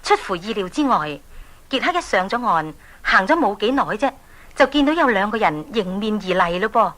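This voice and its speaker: A woman's voice: medium pitch, very animated, normal speed, fairly bright tone. A woman with a Hong Kong Cantonese accent narrating a children's story in a scripted storytelling style.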